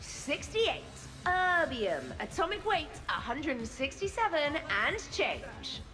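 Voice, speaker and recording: An exuberant, teasing young crewmate in a video game. She speaks very high and with lively swings in pitch, though her pace is unhurried and drawn out. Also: faint music behind, heavily acted.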